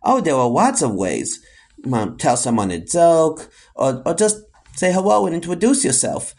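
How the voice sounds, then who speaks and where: A man's voice: a little high, big pitch swings, normal speed, darkish tone, slightly rough, heavily acted. A man playing an earnest, good-natured hero in a children's audio comedy, with a mock-heroic manner. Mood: encouraging.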